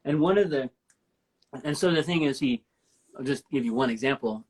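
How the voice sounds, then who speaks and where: Deep husky woman, enthusiastic talker. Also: moderate pace, a little mellow, rough recording. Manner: enthusiastic, rambling storyteller. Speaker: host. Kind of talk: casual live-stream chat. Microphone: live stream from home.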